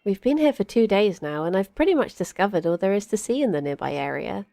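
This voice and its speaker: A woman's voice: mid-range voice, expressive, fast talker, creaky, mellow, no room sound, studio quality. A woman playing a cheerful, chatty young witch in an audio drama, rambling a little hesitantly and eager to please. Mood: easygoing. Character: cheerful, chatty young witch, tall rabbit-eared druid companion. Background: music.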